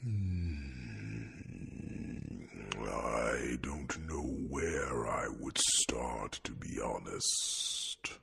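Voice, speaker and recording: A man voicing an ancient, tender, protective dragon in a slow ASMR fantasy roleplay, with a breathy growl, halting old-fashioned phrasing and drawn-out hums. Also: animated, bright, forceful tone, a little hiss or hum, full character voice. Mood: thoughtful, caring. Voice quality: deep breathy gravelly growl.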